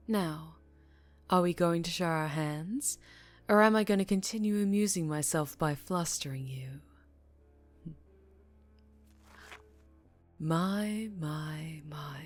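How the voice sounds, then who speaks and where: Woman acting a low, slow, menacing mafia boss lady. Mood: teasing, amused. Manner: teasing, slow, deliberate, drifting into whispers. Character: dangerously charming, menacing female mafia boss, teasing and controlled. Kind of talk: ASMR roleplay acting.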